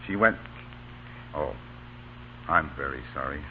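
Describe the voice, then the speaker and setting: An actor playing a measured, authoritative Union colonel, stern yet kindly, in old radio drama style. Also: male voice, very deep voice, exaggerated voice. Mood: grave sympathy. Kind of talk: 1930s-1940s radio acting. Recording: tinny, hissy old radio broadcast.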